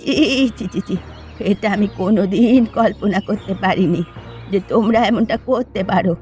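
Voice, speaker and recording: A woman's voice: medium pitch, normal speed, a little mellow, soft background music, lightly acted. A woman voicing a proud, easily wounded elderly village mother in a cartoon. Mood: indignant, scolding. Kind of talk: Bengali cartoon dialogue.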